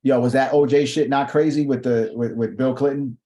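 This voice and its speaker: A man's voice: medium pitch, steady tone, brisk, dark tone. A man hosting a casual livestream chat, talking loosely in slang and swearing freely. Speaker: host.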